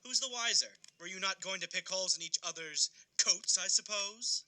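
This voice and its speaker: Callous Victorian townsman , male actor: sardonic, forceful, slightly high, offhand gossip for radio-style drama. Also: normal speed. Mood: sly, brazen. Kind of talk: audio drama acting of a Victorian classic.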